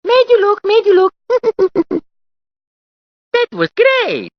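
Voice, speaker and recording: A woman's voice: very high-pitched, huge pitch swings, slow, soft background music, full character voice. A woman playing a playful, cheerful little character in a video game, teasing and encouraging. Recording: dull lo-fi clip.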